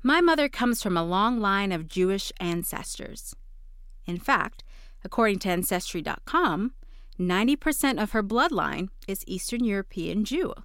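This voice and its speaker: A woman's voice: mid-range voice, expressive, normal speed, fairly bright tone, clean tone, no echo, studio quality. A woman reading a scripted daily devotional for a podcast.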